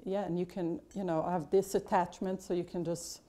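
A woman's voice: lowish voice, level tone, normal speed, mellow. A middle-aged woman chef giving a casual cooking demonstration in a large kitchen classroom, chatty and explanatory with lots of fillers.

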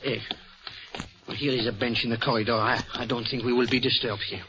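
An actor playing a polite, shrewd Belgian detective with a French accent, speaking precisely and theatrically in a 1940s radio drama. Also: male voice, medium pitch, flat delivery, fairly quick, noisy background, natural voice. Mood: gently firm. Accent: French-accented English. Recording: dull lo-fi vintage radio broadcast.

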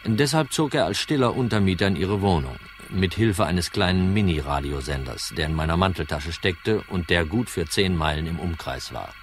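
An actor playing a hard-nosed, dry and insistent insurance detective in a German crime radio play. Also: male voice, deep voice, animated, normal speed, few pauses, faint music behind. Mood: dry narration. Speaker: narrator and lead actor.

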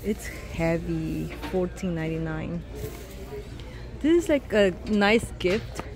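A young woman chatting casually and excitedly to her phone camera while shopping and then eating out. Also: fairly low pitch, slow pace, over music. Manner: chatty, excited, exclaims over cute things. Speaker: vlogger. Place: busy store and fast-food restaurant. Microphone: handheld phone camera. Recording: noisy public place ambience.

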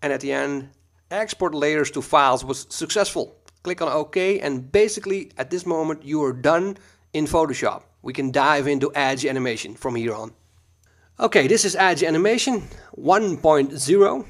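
A Dutch man with a Dutch accent, teaching a screen-recorded software tutorial in a relaxed, chatty way full of ums and uhs, recorded at a computer mic. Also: on the high side, normal speed.

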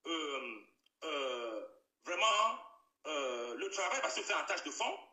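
High, lively-voiced man on a roomy call. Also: slow pace. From Bamenda, Cameroon. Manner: emphatic, repeats himself, hedges with air quotes. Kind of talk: video call discussion. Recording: roomy echo, distant from the mic.

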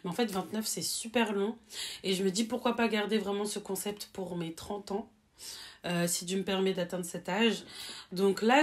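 Chatty young woman talking to camera. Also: mid-range voice. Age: 20s. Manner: chatty, hesitant with many fillers and restarts. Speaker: YouTuber. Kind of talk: casual face-cam chat. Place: small room with bookshelf, seated.

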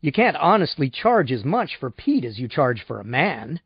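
A man's voice: mid-range voice, sing-song, normal speed, breathy edge, dry recording, highs cut off. A man voicing a stubborn, impatient male customer with a curt, sardonic manner in an audiobook.

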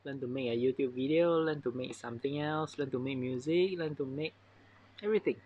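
A man's voice: mid-range voice, fairly quick, darkish tone, lo-fi sound. A young man in his twenties with a Singaporean accent, vlogging to his phone in a cluttered home workshop. He thinks aloud in a self-conscious way. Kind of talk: casual self-filmed vlog. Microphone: phone camera with clip mic.

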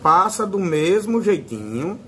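A man's voice: high-pitched, big pitch swings, slow, bright, forceful tone, a little hiss or hum. A man with a Northeastern Brazilian accent giving a casual, informal hands-on tutorial as a fishing channel host. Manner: informal, fond of diminutives.

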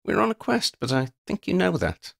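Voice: mid-range voice, huge pitch swings, normal speed, creaky, fairly bright tone, pitched above the performer's own voice, full character voice. A man voicing an earnest, decisive woman who leads a band of questing companions in a storybook, polite and direct. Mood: hopeful.

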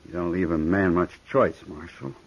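A man's voice: sits low, lively intonation, normal speed, gravelly, exaggerated voice. A menacing hired gunman. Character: menacing hired gunman, grown man, reckless. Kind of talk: 1950s radio drama acting. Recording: dull old radio recording.

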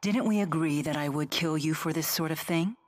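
A woman's voice: deep voice, a little fast, slight background noise, lightly acted. An actress playing a composed, resolute young woman in a video game, blunt, formal and matter-of-fact. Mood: blunt, calm. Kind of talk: English game voice acting.

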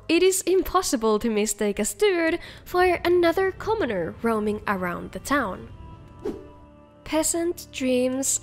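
High-voiced woman narrating a game showcase. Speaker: video host, game mod reviewer. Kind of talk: upbeat scripted narration.

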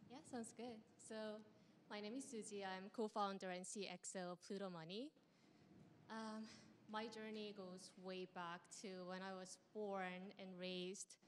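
A young woman from Korea tells her story animatedly, laughing as she goes, her handheld mic picking up a long room reverb. Faint background noise sits under her mid-pitched voice. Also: flat delivery, unhurried. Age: young adult. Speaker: startup co-founder on a panel. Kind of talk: storytelling on a stage panel. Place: large lecture room with an audience. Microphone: handheld microphone.

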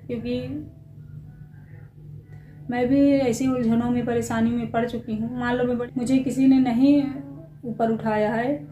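Weary, high-voiced woman vlogger. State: unwell with a chill, tired, slightly breathless. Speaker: small family vlogger. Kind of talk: casual chat to viewers. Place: at home, alone. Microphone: handheld phone. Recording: clearly noisy handheld phone recording.

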